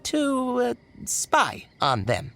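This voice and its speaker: A man voicing a shifty, bumbling undercover informant in a video game, awkward and quick to correct himself. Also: very high-pitched, huge pitch swings, pushed and bright, faint music behind, full character voice. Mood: flustered, sheepish.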